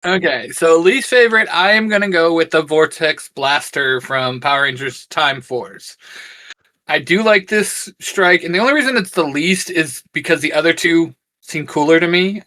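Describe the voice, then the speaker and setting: A man with a crisp, bright voice pitched a little high co-hosts a fan chat. He lays out his picks in an informal, conversational style. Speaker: co-host giving picks. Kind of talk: casual geek chat.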